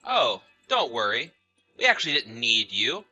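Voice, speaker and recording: Man acting a sharp, bitter betrayed husband. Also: mid-range voice, big pitch swings, normal speed, lo-fi sound, faint music behind. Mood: coolly taunting. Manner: sarcastic, accusatory. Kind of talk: acted audio story dialogue and narration.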